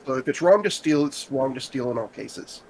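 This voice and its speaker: A man's voice: mid-range voice, animated, normal speed, a little mellow, poor audio. A man in a casual chat, patiently explaining ideas step by step.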